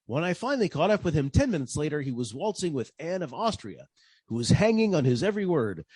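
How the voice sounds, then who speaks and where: An actor playing a wry, hard-boiled private detective in a 1940s-style radio caper, with a sardonic delivery. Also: male voice, fairly high pitch, big pitch swings, fast, darkish tone, husky, dry recording. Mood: wry narration.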